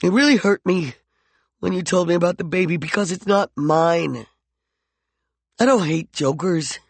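A man's voice: sits high, normal speed, creaky, no room sound, pitched above the performer's own voice. A male narrator voicing a jealous, volatile man who swings from mockery to heated pleading. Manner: heated, mocking and intense.

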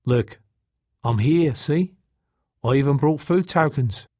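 A man's voice: on the high side, takes it slowly, mellow, dry recording, clean studio sound, voice pushed up. A man voicing a weary, stubborn spaceman, firm and plain-spoken, in an audiobook story. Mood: consoling. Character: weary, stubborn spaceman, still hoping to leave.

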